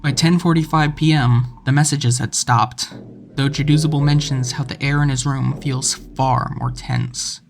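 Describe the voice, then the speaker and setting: A man's voice: mid-range voice, fairly even intonation, measured pace, gravelly, slight background noise. A man narrating a scripted video essay about an internet horror story. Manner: reads quoted messages with rising intensity.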